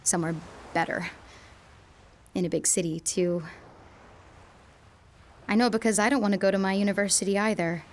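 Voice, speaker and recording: A woman performs a high school girl in a radio play, deeply in love and unsure of herself. Her medium-pitched voice is emotional and searching, leaning hard on her words. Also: fairly even intonation, normal speed, pauses often, close to an everyday voice. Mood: wistful, resigned. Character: lovestruck, intense high school girl, self-doubting. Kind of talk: dramatic audio monologue.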